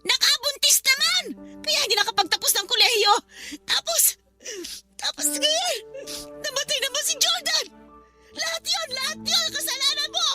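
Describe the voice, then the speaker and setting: A woman's voice: very high voice, moderate pace, bright, forceful tone, soft background music, exaggerated voice. A woman playing a spiteful middle-aged aunt in a Tagalog radio drama, scolding and blaming with bitter scorn. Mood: bitter, grieving. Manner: accusing, scolding, emphatic.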